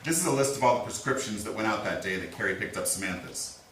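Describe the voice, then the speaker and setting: A man acting in a staged reading as a steady, apologetic small-town lawman, speaking in a measured, reassuring way. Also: lowish voice. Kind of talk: staged play reading, naturalistic. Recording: echoey room, distant mic, faint hiss.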